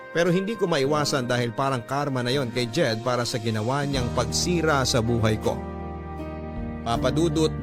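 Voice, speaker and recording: A man with a Filipino accent, a radio host reading out a listener's letter as an earnest, measured dramatic story. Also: mid-range voice. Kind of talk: scripted dramatic story narration. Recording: music bed under the voice.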